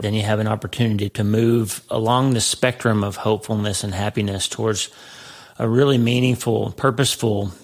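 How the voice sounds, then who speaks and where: Gravelly-voiced man hosting a podcast. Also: lowish voice. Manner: chatty, warm greeting, frequent restarts. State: gravelly voice from sinus trouble. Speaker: podcast host. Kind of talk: casual solo podcast talk.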